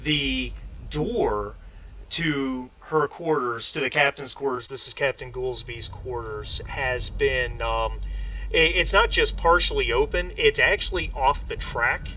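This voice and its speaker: Male game master, bright mid-pitched voice, halting improvised narration, faint background noise. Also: expressive, recorded from a distance, rough recording. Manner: halting, drawn-out delivery with repeated starts.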